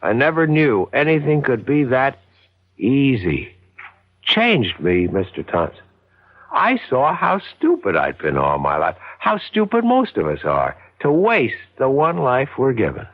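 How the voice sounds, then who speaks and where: An actor playing a meek man turned exultant murderer in a 1970s radio drama, with halting, theatrical intensity. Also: medium pitch, huge pitch swings, no room sound, heavily acted. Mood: strange elation. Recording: dull vintage radio broadcast recording.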